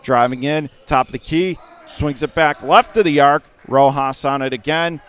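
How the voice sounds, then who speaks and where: A man calls a basketball game play by play for radio, his voice smooth and resonant and pitched a little high. He talks quickly and surges to shouts when the action heats up, with a ball bouncing somewhere behind him. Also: fairly even intonation. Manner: rapid, rising to shouts on big plays.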